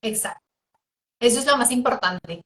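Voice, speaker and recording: A woman's voice: a little high, expressive, moderate pace, a bit bright. Colombian woman host in a roomy room. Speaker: interviewer, host. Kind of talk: interview conversation. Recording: roomy echo.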